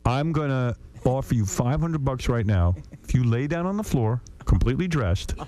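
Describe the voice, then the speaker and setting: A man's voice: medium pitch, expressive, normal speed, warm tone. Blunt teasing radio host man. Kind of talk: crude radio banter. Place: radio studio.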